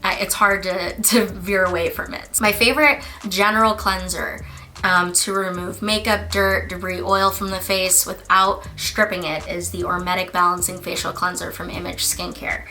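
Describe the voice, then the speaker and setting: A woman esthetician hosting a casual skincare vlog, her voice a little raspy from a lingering cough, chatting through her routine with fillers. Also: fairly low pitch, flat delivery, normal speed, bright, forceful tone, faint music behind. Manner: chatty, explaining step by step with fillers. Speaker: licensed esthetician and beauty channel host. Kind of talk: casual product-review vlog.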